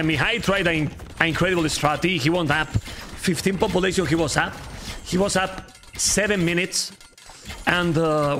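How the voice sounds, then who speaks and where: A man in his thirties with a Spanish accent, casting a strategy game live and chatting to viewers, repeating phrases and going a bit hoarse. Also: on the high side, slight background noise. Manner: animated, repeats phrases, talks to chat. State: losing his voice. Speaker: game caster. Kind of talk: live game commentary to chat. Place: home streaming room. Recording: faint game music behind.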